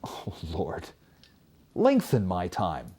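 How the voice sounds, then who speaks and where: A vigorous, never-satisfied man in a storybook fable, acted by a male reader, pleads with drama. His pitch swings very widely and his wording is formal. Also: huge pitch swings, extremely slow, mellow, husky, exaggerated voice. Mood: pleading, dissatisfied. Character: vigorous, greedy first man, begging for longer life.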